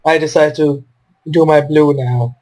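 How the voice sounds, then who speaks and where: Higher-voiced man doing casual game commentary. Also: steady tone, measured pace, dark tone. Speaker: gamer commentating on own match. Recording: noticeable background noise.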